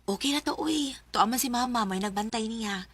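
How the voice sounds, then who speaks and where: A woman's voice: a little high, moderate pace, slightly rough, no room sound. An actress playing an affectionate, eager young mother devoted to her best friend in a radio drama. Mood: eager, playful. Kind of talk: Bisaya radio drama acting.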